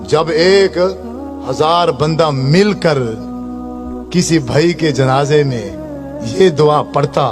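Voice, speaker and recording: High-pitched middle-aged man preaching. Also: slow, over music. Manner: exhorting, repetitive, rhetorical. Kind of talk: impassioned sermon with recited prayers.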